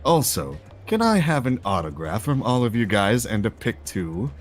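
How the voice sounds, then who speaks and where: A man playing a theatrical masked jackal villain, co-hosting a livestream in wry, halting banter. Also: lowish voice, very animated. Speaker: livestream co-host. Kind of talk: in-character improvised livestream banter. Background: background music.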